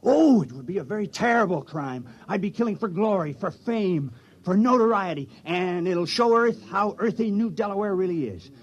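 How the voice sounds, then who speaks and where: Radio drama role: earnest small-town fellow, reluctant crook, high voice with big swings. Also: male voice, lively intonation, moderate pace, highs cut off. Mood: eager. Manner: folksy, hesitant, earnest. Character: reluctant, good-natured village man appointed town criminal. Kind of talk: old-time radio drama acting.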